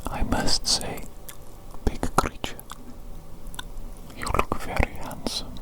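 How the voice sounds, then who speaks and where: A woman voicing a doting evil doctor in an ASMR medical roleplay, in a breathy, unvoiced whisper, soothingly repeating short phrases. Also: slow, natural voice. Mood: soft, attentive. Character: doting evil doctor examining the creature they created. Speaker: ASMR performer.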